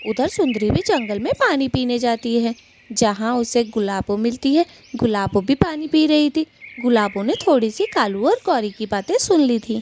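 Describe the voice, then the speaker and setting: Lively, high-voiced woman narrating a story. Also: moderate pace, slight background noise. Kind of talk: scripted children's story narration.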